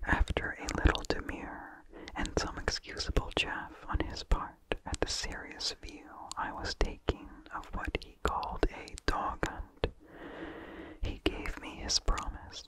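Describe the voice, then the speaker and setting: Very slow, unvoiced ASMR whisper. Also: poor audio. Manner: slow, hushed, deliberate phrasing. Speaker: narrator reading a vintage horror story. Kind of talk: ASMR whispered story reading.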